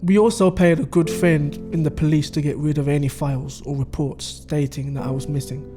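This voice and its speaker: A man's voice: fairly high pitch, fairly even intonation, a little fast, music in the background, natural voice. A man playing a scheming middle-aged father, explaining things conversationally in a dramatic audio story. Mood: grave, confiding. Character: scheming middle-aged father recounting his wife's crimes. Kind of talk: dramatic dialogue.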